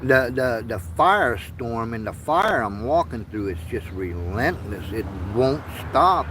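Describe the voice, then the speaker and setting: A man in his fifties, born in Vietnam and raised in the United States, talks slowly and earnestly to his livestream viewers, pausing and repeating himself as he goes. His pitch sits in the middle range for a man, and faint outdoor noise hums behind him. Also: very animated, a bit bright. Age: 50s. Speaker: livestream host. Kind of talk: rambling casual livestream monologue.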